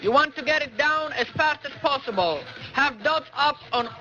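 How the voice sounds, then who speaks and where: Very high-pitched, angry male raid leader. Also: moderate pace, sharp tone. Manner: angry, profane, commanding, repetitive. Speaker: online game raid leader. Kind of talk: barking orders during a game. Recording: dull lo-fi old voice chat.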